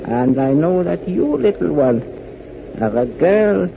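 An actor playing a frail, shrewd French shoemaker in his eighties, with a French accent and halting speech, in 1940s radio drama style. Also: a little high, sing-song, vocal fry, exaggerated voice. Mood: gentle, knowing. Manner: slow, halting delivery. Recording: noisy, muffled 1940s radio recording.

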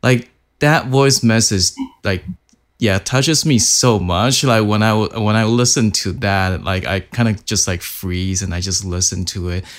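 A man of forty with a Mandarin Chinese accent, hosting a casual interview and speaking slowly with many fillers. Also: lowish voice. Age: 40s. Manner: slow, hesitant, many "like" fillers.

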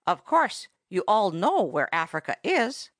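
A woman's voice: mid-range voice, very animated, a little slow, no echo, studio quality. A woman voicing a vain, pompous female parrot who tells stories in a grand, easily offended manner.

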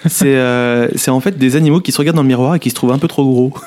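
A man's voice: mid-range voice, very fast, darkish tone, a little hiss or hum. A young man co-hosting a casual podcast, explaining things eagerly in a chatty way. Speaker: podcast co-host. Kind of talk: casual chat.

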